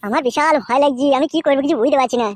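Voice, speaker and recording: A performer voicing an anxious cartoon village man with a high voice and very fast speech. He frets and whines in crude words, a coward in love, and the sound is dry and close. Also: no echo, natural voice. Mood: panicked. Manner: whiny, fretful, crude. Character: anxious, cowardly male village lover, whining and cursing. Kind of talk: comic cartoon dialogue.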